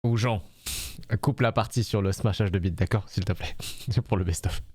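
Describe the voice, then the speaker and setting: A man's voice: on the low side, fairly quick, a little mellow, husky. A man with a French accent, a game streamer giving casual, jokey, teasing live commentary. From France. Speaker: streamer playing a dating game.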